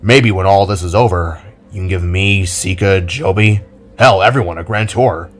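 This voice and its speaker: A man voicing a scarred older brother who speaks in a measured, earnest way. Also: sits low, lightly acted.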